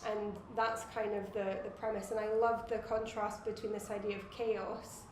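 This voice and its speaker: Scottish woman designer, even monotone delivery, echoey room with faint hum of a crowd. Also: medium pitch, normal speed. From Scotland. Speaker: watch designer, guest. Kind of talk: casual interview answers.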